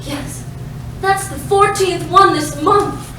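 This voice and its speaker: Woman playing a high-pitched noir damsel, very echoey. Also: slow. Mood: pleading, coy. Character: dramatic, ditzy femme fatale client, sweetly apologetic woman. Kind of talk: comedic staged reading, film noir parody. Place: live staged reading in a roomy space. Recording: very echoey noisy room, distant mic.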